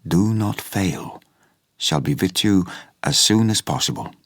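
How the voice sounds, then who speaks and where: An actor playing a grave, commanding older professor in a radio horror drama, speaking in an urgent, hushed, clipped way. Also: male voice, sits low, a little slow.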